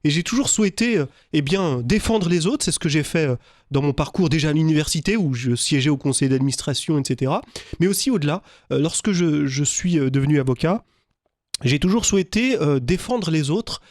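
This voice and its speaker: A man's voice: on the high side, expressive, brisk, a little mellow, no room sound. A man from Lorraine, a business lawyer, answering a radio interview in a studio, speaking with many hesitations and fillers. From Lorraine, France. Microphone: studio microphone.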